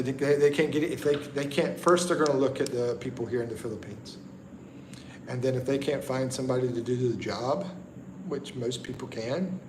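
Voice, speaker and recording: Middle-aged guy rambling slow on a livestream, lots of ums, echoey room w/ noise behind. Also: medium pitch. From the Carolinas, USA. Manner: slow, easygoing, halting with frequent ums and restarts. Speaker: livestream host. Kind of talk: casual livestream chat, reading viewer comments. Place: indoors at home in the Philippines at night.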